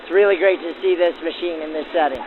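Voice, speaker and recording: A man's voice: a little high, fast, narrow, tinny audio, a little hiss or hum. A man working as host and interviewer, presenting in the manner of a 1940s radio reporter. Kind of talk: scripted narration and field interviews backdated to 1940s radio. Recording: band-limited 1940s radio-style sound.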